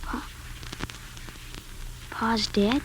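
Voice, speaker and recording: A woman acting a plucky, wary young American frontier boy in a 1950s radio western, speaking in a folksy, halting way. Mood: shaken. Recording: noisy old 1950s radio recording.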